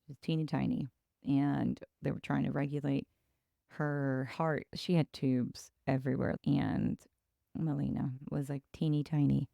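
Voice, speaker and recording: Woman from ecuador telling her story, low gravelly voice, slow halting delivery, dry close sound. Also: steady tone, no room sound, muffled recording. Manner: reflective and halting, with pauses and restarts. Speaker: podcast host. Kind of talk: personal storytelling.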